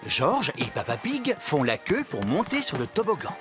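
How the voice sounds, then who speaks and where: A woman narrating a children's cartoon as a calm, friendly storybook narrator with simple, clear statements. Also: low-pitched, normal speed, over music.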